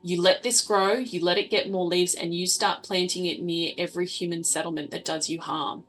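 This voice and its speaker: Woman playing blunt female survivor leader, lowish bright voice, firm and imperative, tabletop roleplay. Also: fairly even intonation, normal speed, soft background music, close to an everyday voice, poor audio. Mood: grimly vengeful.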